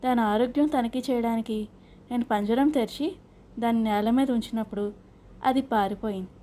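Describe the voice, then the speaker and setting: A woman's voice: on the high side, normal speed, mellow, a little hiss or hum, lightly acted. A woman voicing a simple, earnest man who owns a parrot in a children's story, explaining things respectfully. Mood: dejected. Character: earnest male parrot owner, simple and dejected.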